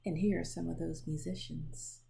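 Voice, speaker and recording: A woman reading a children's picture book aloud in an expressive storytelling manner, pausing to show the pictures. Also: sits low, gravelly. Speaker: storybook reader.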